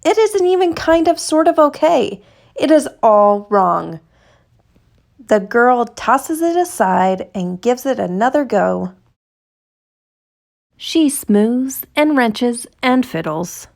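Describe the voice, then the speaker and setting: A woman reading a children's picture book aloud in an expressive, deliberate storytelling manner, stretching words for emphasis. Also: fairly high pitch, creaky. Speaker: storytime reader.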